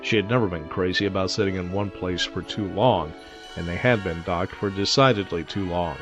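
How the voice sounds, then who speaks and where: A man narrating a scripted space adventure in a measured, dryly wry manner. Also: low-pitched, close to an everyday voice. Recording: dull, close dry track under music. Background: music.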